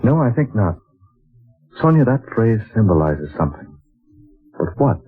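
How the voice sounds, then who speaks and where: Deep-voiced man a wistful writer. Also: sing-song, moderate pace. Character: Scott, an earnest male writer narrating his journey. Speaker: narrator and lead character. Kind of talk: 1940s radio drama acting. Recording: tinny lo-fi old radio broadcast with music.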